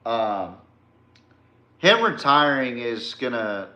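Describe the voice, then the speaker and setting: A man's voice: mid-range voice, very animated, slow pace, sharp tone. A man from Texas hosting a sports podcast, talking casually to viewers in an informal, slangy, folksy way. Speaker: podcast host. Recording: roomy, echoey sound.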